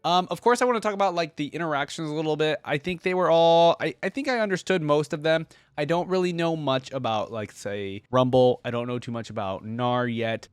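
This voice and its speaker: A man's voice: mid-range voice, dry recording. A man hosting a reaction video, talking casually to his viewers about what he has heard.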